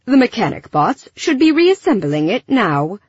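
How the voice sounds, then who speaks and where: A formal, literal-minded male robot played by a woman from an audiobook. He speaks with stiff precision at a raised pitch, oblivious and wordy, in a dry, slightly dull recording. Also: big pitch swings, normal speed, husky, no echo. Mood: earnest, formal.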